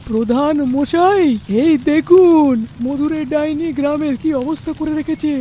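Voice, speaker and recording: An anxious cartoon villager, an earnest man acted by a woman. The voice is high yet mellow, with a worried, pleading delivery fit for a fairy tale. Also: fairly even intonation, a little slow, slight background noise. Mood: troubled. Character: anxious village headman, adult male, earnest.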